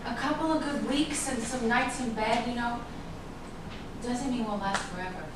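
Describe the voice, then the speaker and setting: An actress in a staged reading playing a seductive but guarded lover. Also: female voice, on the high side, moderate pace, close to an everyday voice. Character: seductive, guarded woman, lover of a troubled writer. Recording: echoey room from far off, noisy.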